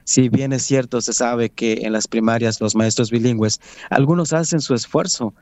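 A man with a Mexican accent whose first language is Nahuatl, answering interview questions in an earnest, proud and measured way. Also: medium pitch, darkish tone, no room sound. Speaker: guest, Nahuatl language coordinator. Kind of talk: interview conversation.

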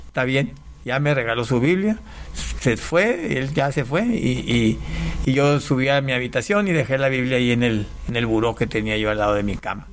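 Man from Panama, halting pastor. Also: mid-range voice, a little hiss or hum. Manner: halting, with frequent restarts and repeated words. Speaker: pastor and guest. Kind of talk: conversational testimony.

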